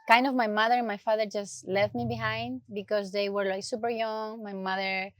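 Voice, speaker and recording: A woman from Ecuador with an Ecuadorian Spanish accent, telling her personal story as an interview guest, soft and hesitant as she searches for words in English. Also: mid-range voice, flat delivery, moderate pace, dry recording.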